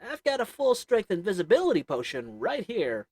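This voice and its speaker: Voice actor as a cunning goblin shopkeeper, haggling patter, lively up-and-down delivery. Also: medium pitch, normal speed, breathy edge, no echo. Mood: sly. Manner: wheedling, sarcastic salesman patter; chuckles between lines. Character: sly haggling goblin potion merchant. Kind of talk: audio roleplay acting to the listener.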